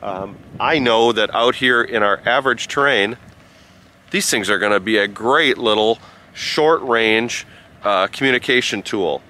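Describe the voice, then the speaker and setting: A man giving a casual vlog-style review outdoors in a halting, rambling way. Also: fairly low pitch, sharp tone, slight background noise. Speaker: product reviewer and amateur radio operator. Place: outdoors by a rural road.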